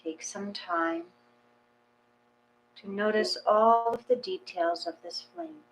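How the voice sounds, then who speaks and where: A woman reading a guided meditation in a gentle, measured, calming way. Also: mid-range voice, poor audio. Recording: clear mains hum.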